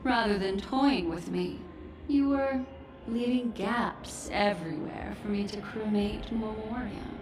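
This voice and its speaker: A woman acting a slow, poised, echoey heroine. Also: medium pitch, lightly acted. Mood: calm, accusing. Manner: measured, poised, coolly pointed. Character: composed, shrewd, cool-headed woman facing her old betrayer. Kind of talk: dramatic video game voice acting. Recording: echoey, distant, music underneath. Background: game music.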